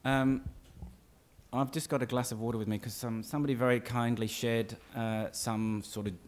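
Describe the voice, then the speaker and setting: A man in his forties with a husky voice from a cold, giving a conference talk in a lecture theatre with many fillers and restarts. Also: mid-range voice, steady tone, normal speed. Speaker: academic speaker. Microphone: lectern microphone.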